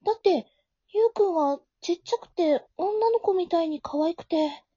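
Woman acting high, soft-toned teenage girl, easily flustered. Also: moderate pace, dry recording. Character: flustered, caring high school girl narrating her reunion. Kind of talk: amateur Japanese audio drama acting with inner narration. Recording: dull, lo-fi home recording.

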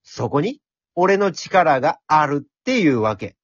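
A man voicing a brash, cheeky talking pencil in an amateur radio drama, speaking in rough, cocky, encouraging slang. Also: a little high, big pitch swings, a little slow, dry recording, highs cut off, heavily acted. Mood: boastful, explaining.

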